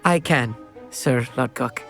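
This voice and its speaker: An actor playing a bookish, polite teenage wizard schoolboy, earnest and a little pompous, in fantasy game dialogue. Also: male voice, medium pitch, slow pace, creaky, fairly bright tone. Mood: polite, flustered. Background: faint background music.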